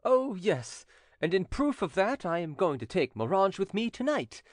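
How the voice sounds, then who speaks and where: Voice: medium pitch, big pitch swings, moderate pace, dry recording, clean studio sound, pitched above the performer's own voice, close to an everyday voice. A narrator playing a boastful, breezy older gallant, a commander with a flamboyant manner, in a storybook. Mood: smug.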